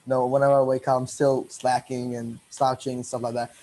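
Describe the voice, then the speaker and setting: A man's voice: medium pitch, flat delivery, fairly quick, dark tone. A seventeen-year-old boy asks his questions in a loose, rambling way. He restarts and corrects himself often as he talks. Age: teens. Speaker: interviewer. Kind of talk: casual online interview.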